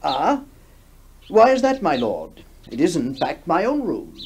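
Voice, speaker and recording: An actor playing a weary, uneasy country gentleman in a radio horror story, with formal speech. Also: on the high side, huge pitch swings, a little slow. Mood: surprised, weary. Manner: formal, troubled. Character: weary, uneasy English country squire, well-bred. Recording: noisy 1960s radio broadcast.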